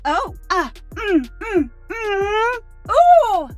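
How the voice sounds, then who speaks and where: Cheery robot girl from a game, really high voice, bouncy pitch, music under it. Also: sing-song, drawn-out words, full character voice. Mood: excited, playful. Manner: playful, exclamatory, wordless whoops. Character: curious, cheerful female humanoid robot who loves dancing. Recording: music behind the voice.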